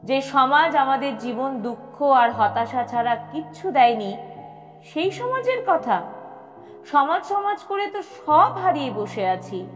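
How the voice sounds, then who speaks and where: A woman's voice: sits high, sing-song, slow pace, voice pushed up, heavily acted. A woman voicing an elderly, earnest, tender man who pleads in an audio story. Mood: earnest, pleading. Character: elderly lonely widower, earnest, tender, fed up with society. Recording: long reverb with music behind.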